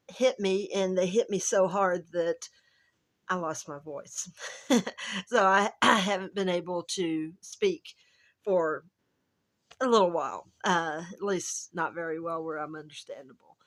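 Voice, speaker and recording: A woman with a hoarse, gravelly voice that is still recovering, chatting casually and rambling to camera at home as a travel vlogger. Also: on the low side. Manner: rambling, chatty, self-interrupting. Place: at home, indoors.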